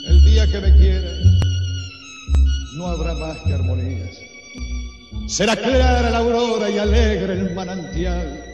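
A man's voice: very high voice, huge pitch swings, a little slow, pauses often, far from the mic, highs cut off. A man singing and reciting romantic tango verse. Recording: echoey long reverb with music.

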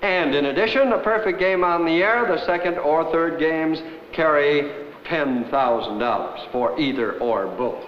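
A man with an American accent commentating and hosting a televised bowling match in 1950s style, with folksy, excitable turns of phrase. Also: a little high, measured pace. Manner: excitable, folksy exclamations on good shots. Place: bowling alley. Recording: dull, noisy 1950s television soundtrack.

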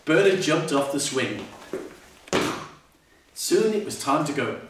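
A man's voice: a little high, very animated, takes it slowly. Older male storyteller, theatrical and playful, swooping delivery, recorded from a distance on an echoey stage. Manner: theatrical, exclamatory, playful asides. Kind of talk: animated children's storybook reading. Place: indoor curtained stage. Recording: echoey hall, distant mic, faint noise.

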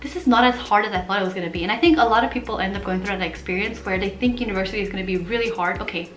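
A young woman from Portugal talks straight to the camera in a loose, chatty way, animated and quick to gesture. Her voice sits at an everyday middle pitch. The small room around her rings a little, with soft music under the talk. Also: echoey room. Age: young adult. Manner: chatty, animated, gestures with hands while talking. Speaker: vlogger. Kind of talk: casual talking-head vlog.

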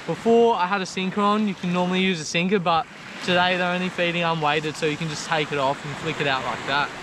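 A man's voice: high voice, fairly even intonation, quick pace. A young Australian man hosting a fishing vlog, laid-back and slangy, explaining each step. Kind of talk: casual outdoor how-to. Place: ocean beach outdoors, in the shallows. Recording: loud surf noise behind.

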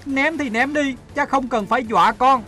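A man's voice: extremely high pitch, moderate pace, pushed and bright, faint music behind. A man playing a defiant young son in a cartoon, indignant and emphatic. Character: defiant young son talking back to his father. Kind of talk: cartoon dub acting.

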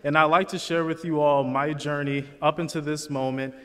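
A man's voice: on the high side, steady tone, normal speed, fairly bright tone, clean tone. A young man from Chicago giving a student commencement speech at a lectern on a large auditorium stage, earnest and chatty with asides to the crowd. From Chicago, USA. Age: young adult. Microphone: lectern microphone. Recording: long hall reverb.

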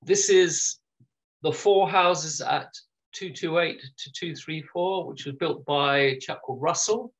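A man's voice: fairly high pitch, a little slow. A man, giving an informal illustrated local history talk over a video call, chatty with frequent ums and restarts. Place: home room. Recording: dull lo-fi video call audio.

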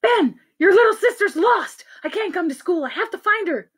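A woman's voice: very high voice, animated, normal speed, fairly bright tone, pitched above the performer's own voice. A woman voicing a frantic, worried mother who exclaims, in a children's story.

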